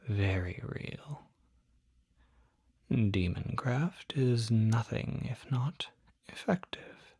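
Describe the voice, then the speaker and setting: A performer voicing a calm, courteous demon doctor in an ASMR roleplay, whispering softly with a measured, reassuring, formal bedside manner. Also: low-pitched, dry recording, heavily acted. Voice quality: breathy, whispery.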